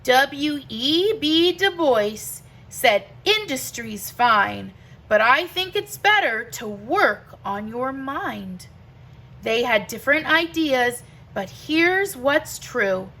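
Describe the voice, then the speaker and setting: Slow, bright-voiced woman reading aloud. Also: sits high, sing-song. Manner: deliberate, emphatic phrasing. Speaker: teacher reading a children's book aloud. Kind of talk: expressive read-aloud of a rhyming picture book.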